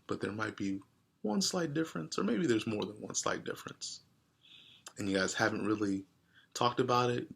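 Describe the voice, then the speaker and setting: A man reading a storybook aloud and speaking directly to his listeners in a deliberate way. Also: on the low side, a bit bright. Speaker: read-aloud host. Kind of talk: storybook read-aloud with casual asides to listeners.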